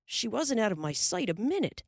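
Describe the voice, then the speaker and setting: A woman reading the part of a calm, firm pioneer father in a storybook. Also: on the high side, normal speed, no echo, studio quality, pitched above the performer's own voice. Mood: urgent. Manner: firm, reassuring.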